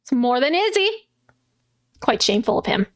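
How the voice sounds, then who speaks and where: A woman plays a tabletop role-playing game, talking in a casual, joking way and swearing freely. Her voice sits high. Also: very animated, moderate pace, a bit bright. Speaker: player.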